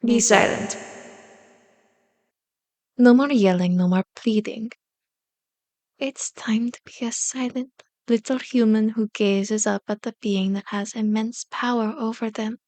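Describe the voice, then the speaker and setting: Slow, condescending vampire seductress, acted by a woman. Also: fairly high pitch, fairly even intonation, a little mellow, no room sound, natural voice. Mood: taunting, commanding. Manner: slow, commanding, mock-sweet taunting with whispered words. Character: powerful female vampire, seductive, condescending, manipulative captor. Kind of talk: ASMR roleplay.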